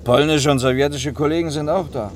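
An actor playing a patient, matter-of-fact driver in a naturalistic radio play, gently teasing as he talks. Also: fairly high pitch, a little fast, a little hiss or hum, natural voice. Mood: explaining. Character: patient, reasonable working man driving a car.

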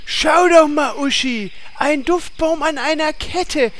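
A man playing a coaxing guild friend in a parody audio drama, with drawn-out, cajoling speech. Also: very high-pitched, fairly bright tone, slight background noise, heavily acted. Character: slow, high-voiced, coaxing guild friend.

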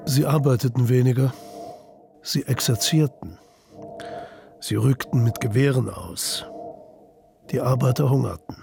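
A man with a creaky, gravelly voice reading scripted radio drama narration in staccato sentences. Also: mid-range voice, measured pace, pauses often. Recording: noticeable ambient background noise.

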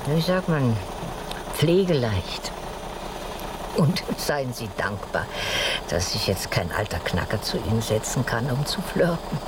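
An actress playing a talkative, wry old German-born American grandmother and widow in a radio drama. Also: exaggerated voice. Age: older. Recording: noisy, distant, vehicle rumble behind.

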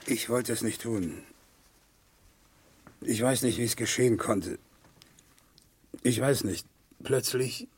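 An actor playing a distraught, haunted murder suspect in a German radio crime play, speaking in a halting, repetitive, anguished way. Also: male voice, mid-range voice, slightly rough. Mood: dazed, remorseful.

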